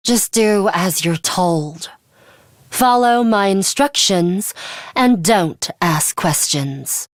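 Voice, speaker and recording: An actress playing a stern, aloof young woman in a video game, speaking in a curt, commanding, deliberate way. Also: mid-range voice, expressive, dry recording. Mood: cool, commanding. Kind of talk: scripted video game character lines.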